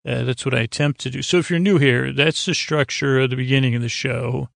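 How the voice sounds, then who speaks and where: A man with a gravelly, creaky voice rambling as host of a sleep podcast, halting and stumbling over words as the thought wanders. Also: mid-range voice, fairly even intonation, a bit bright, no room sound, clean studio sound.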